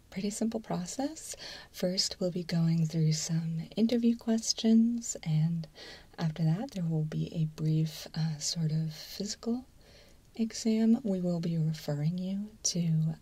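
A low, husky woman acting as a mild clinical intake assessor in an ASMR role-play. She whispers softly and breathily, working through things in a methodical, matter-of-fact way with small hesitations. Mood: calm, businesslike. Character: mild, businesslike female alien-abduction intake assessor. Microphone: close microphone.

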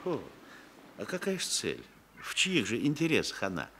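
An actor playing a genial, sly landowner of about sixty in a radio drama, chatty and hesitant with veiled menace. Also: male voice, mid-range voice, wildly swooping pitch, normal speed, creaky. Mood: evasive. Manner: chatty, hesitant, chuckling, insinuating. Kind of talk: Soviet radio drama acting. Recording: noisy vintage radio drama recording.

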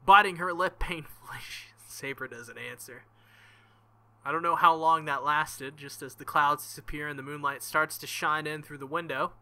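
A man's voice: a little high, fairly even intonation, normal speed, pushed and bright. A young man reading a visual novel aloud as a let's play host, with joking, crude asides between passages.

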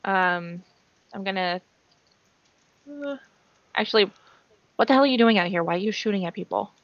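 A woman's voice: mid-range voice, lively intonation, moderate pace, dry recording. A young woman playing a tabletop game on a video call, casual with fillers. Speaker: player. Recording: dry, dull video call audio.

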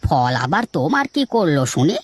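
A woman's voice: deep voice, big pitch swings, moderate pace, a little mellow, breathy edge, full character voice. A woman voicing a scheming, grumbling male fruit trader in a cartoon, wheedling and defensive. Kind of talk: cartoon dialogue acting.

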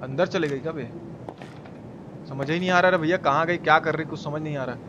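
A young man doing casual, slangy live game commentary, repeating his phrases excitedly and chatting with viewers. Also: a little high, fairly quick, dull audio, noisy background. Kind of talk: casual gameplay commentary with chat replies.

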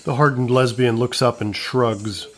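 A man's voice: mid-range voice, fairly even intonation, unhurried. Man narrating over faint music. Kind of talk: scripted narration.

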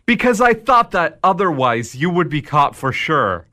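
An actor playing the Devil in a radio drama, a smug, needling tempter who talks in a measured, sardonic way. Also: male voice, fairly high pitch, big pitch swings, sharp tone, full character voice. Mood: smug, persuasive.

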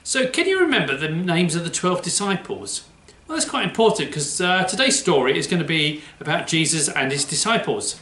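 Animated middle-aged man, bright voice. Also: on the high side, brisk, echoey room. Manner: animated, playful. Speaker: children's church presenter. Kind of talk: chatty children's presenting.

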